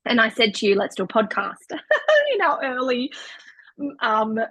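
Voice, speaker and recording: A woman's voice: a little high, wildly swooping pitch, measured pace, bright, forceful tone, no room sound. A woman in her thirties co-hosting a podcast, speaking in an earnest, uplifting, casual way. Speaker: podcast co-host. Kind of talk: casual chat.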